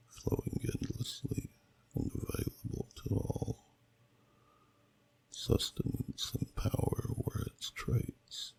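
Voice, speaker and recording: Ancient gravelly whispering dragon. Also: male voice, mellow, exaggerated voice. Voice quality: deep, gravelly, breathy half-whisper. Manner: slow, solemn, deliberate, archaic phrasing. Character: ancient male dragon, grave and wistful storyteller. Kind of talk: ASMR storytelling, scripted narration.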